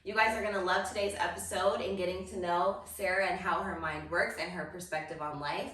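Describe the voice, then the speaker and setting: A woman's voice: fairly low pitch, steady tone, fairly quick, fairly bright tone. Female podcast host, casual interviewer, heard off-mic in a strongly reverberant space. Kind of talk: casual interview with short affirmations. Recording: very echoey, distant mic, music behind.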